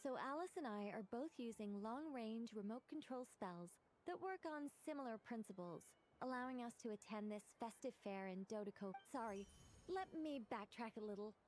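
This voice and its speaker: Casting: actress for opinionated chatty lady mage, game. Slightly high register, prim, dry, long-winded. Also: animated, normal speed, a little mellow, a little hiss or hum, lightly acted. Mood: indignant, rambling. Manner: wordy, dry, fussy. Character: prim, opinionated, talkative young female mage. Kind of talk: video game voice acting.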